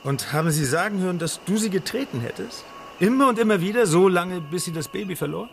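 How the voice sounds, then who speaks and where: A man's voice: high voice, animated, quick pace, gravelly, slight background noise. An actor playing a blunt, insistent private investigator who questions his client in a German radio crime drama. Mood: accusing, pressing. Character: blunt, probing male private investigator, needling and businesslike. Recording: studio radio play with music underneath. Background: music.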